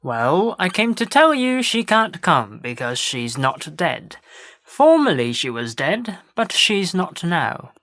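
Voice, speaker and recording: A woman acts a proud, meddling flower fairy from a stage play. The voice is lowish and moves widely in pitch, assured and matter-of-fact, in a dry recording. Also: big pitch swings, takes it slowly, a bit bright, dry recording, full character voice.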